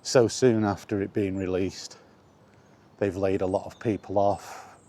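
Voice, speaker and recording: Slow, low-voiced man in a rambling vlog. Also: fairly even intonation, mellow. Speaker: vlogger. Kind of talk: casual walking vlog commentary on video games. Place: outdoors, walking.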